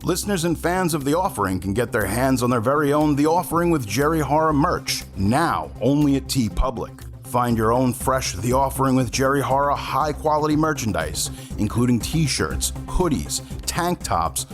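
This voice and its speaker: Male promo reader. Scripted ad narration with animated pitch movement, set over a music bed. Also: moderate pace, few pauses. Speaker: podcast host reading sponsor ads.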